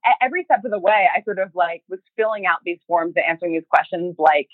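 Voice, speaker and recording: Chatty woman with a lowish voice. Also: no echo, phone-quality sound. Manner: chatty, halting, acts out remembered thoughts and emails in quoted speech. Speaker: podcast guest, fiction podcast creator and writer. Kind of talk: casual interview conversation.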